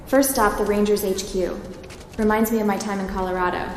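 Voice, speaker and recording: A woman's voice: medium pitch, steady tone, fairly quick, music in the background, lightly acted. A woman playing a polite, curious female cyber runner as a game protagonist. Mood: curious, relaxed. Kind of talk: scripted game protagonist dialogue.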